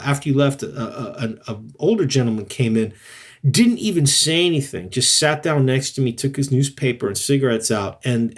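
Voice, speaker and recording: A man with a husky, slightly breathy voice, co-hosting a relaxed chat. He tells stories with energy, jumping up in pitch to act out the people he quotes. His mid-range voice stays friendly and conversational. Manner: animated storyteller, acts out quoted voices, laughs easily. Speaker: co-host, jazz bar hunter. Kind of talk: casual conversational podcast chat.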